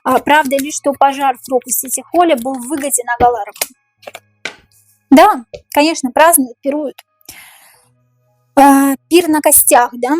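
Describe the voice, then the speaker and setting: A woman doing a tarot reading, repeating each question slowly and musing aloud over the cards, her voice tiring and going hoarse. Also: high-pitched, steady tone, darkish tone, faint music behind. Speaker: tarot reader.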